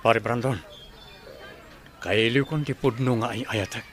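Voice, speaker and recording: A man playing an earnest, conflicted young father with a measured delivery in an Ilocano radio drama. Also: mid-range voice, fairly even intonation, fairly bright tone, lightly acted. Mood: troubled.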